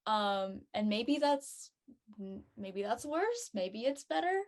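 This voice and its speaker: A woman's voice: a little high, big pitch swings, slow pace. A woman talking casually as a panelist, reflective and a bit tentative about her opinions.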